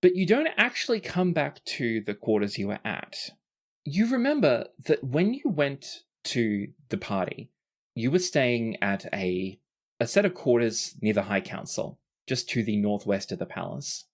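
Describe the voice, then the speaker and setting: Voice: mid-range voice, sing-song, a little slow. A game master narrating a tabletop role-playing game in a casual, sweary way.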